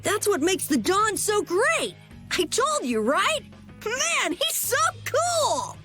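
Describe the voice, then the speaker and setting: Squeaky, excitable young boy. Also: slow, full character voice. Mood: excited. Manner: boyish, exclamatory. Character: excitable, eager young boy, easily awed. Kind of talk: anime video game voice acting. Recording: background music behind the voice.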